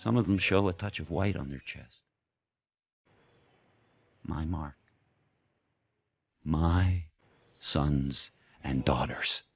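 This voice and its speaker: A man playing a fierce, brooding sled dog turned pack leader, telling his tale in terse, deliberate phrases in an audio drama. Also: dry recording, highs cut off, full character voice. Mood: exultant, proud. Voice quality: very deep, husky. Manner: terse, deliberate first-person narration in short phrases.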